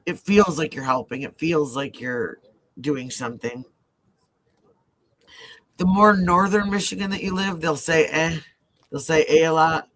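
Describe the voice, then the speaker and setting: A man's voice: on the high side, sharp tone. A man with a mixed Midwestern accent, partly Ohio and partly Southern, chatting loosely on a live true-crime stream with lots of fillers and restarts. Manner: rambling, lots of fillers and self-restarts, easy laughs. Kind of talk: casual live-stream chat.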